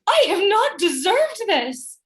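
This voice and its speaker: A gentle noble wife, wronged and bewildered acted by a woman, in a Shakespearean radio play. Her high voice moves expressively, earnest and submissive in manner. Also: sing-song, slow pace, a little hiss or hum, full character voice. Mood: hurt bewilderment. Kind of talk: Shakespearean radio drama acting.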